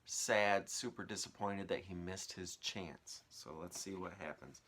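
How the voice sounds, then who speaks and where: A man's voice: sits low, unhurried, noisy background. A man speaking as a teacher, telling a children's story aloud with casual asides. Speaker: teacher reading aloud to pupils.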